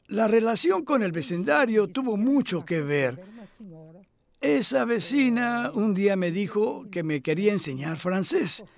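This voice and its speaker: A man's voice: high voice, vocal fry, full character voice. A male actor dubbing a cultured older scholar who speaks reflectively and hesitantly in a conversational interview. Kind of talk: voice-over dub of a conversational interview.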